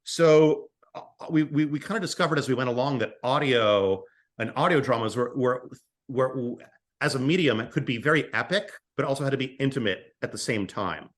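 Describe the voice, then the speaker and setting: A man's voice: mid-range voice, normal speed. Male, late thirties, mid-range voice, casual interviewee explaining his craft. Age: late 30s. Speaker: podcast guest, audio drama writer.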